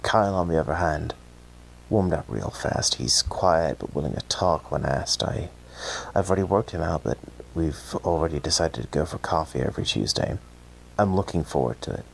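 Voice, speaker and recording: A very low, creaky voice carries an anxious, obsessive young man played by a male actor in a found-footage horror audio drama. He rambles hesitantly, as if confiding in someone. Faint noise sits behind him. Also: animated, normal speed, heavily acted, low-quality recording. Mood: reflective, rambling.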